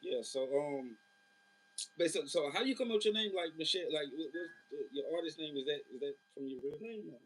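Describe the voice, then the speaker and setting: A man's voice: a little high, expressive, brisk, slightly rough. A man hosting an interview over a phone call, laid-back and slangy, with many fillers. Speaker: interview host.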